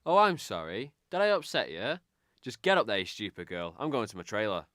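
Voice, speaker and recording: A man's voice: lowish voice, sing-song, a little fast, fairly bright tone, dry recording, studio quality. A man playing a cruel, threatening circus boss in an amateur radio drama, barking with contempt. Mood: sneering.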